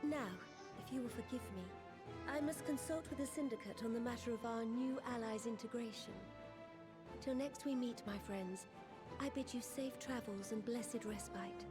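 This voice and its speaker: A woman's voice: on the high side, normal speed, natural voice. An actress playing a dignified, resolute female ruler, speaking formally in a scripted video game scene. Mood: solemn, gracious. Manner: formal, stately, archaic wording. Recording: game audio under clear music.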